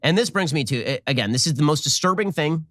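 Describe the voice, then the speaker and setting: A man delivering rapid, emphatic political commentary in an indignant tone. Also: on the high side, expressive, fairly bright tone, slight background noise.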